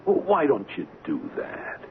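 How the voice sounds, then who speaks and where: An actor playing a bitter, mocking, hard-drinking ship's engineer turned blackmailer in an old-time radio drama. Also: male voice, mid-range voice, huge pitch swings, measured pace. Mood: taunting. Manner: mocking, theatrical, taunting. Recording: lo-fi, noisy radio drama recording.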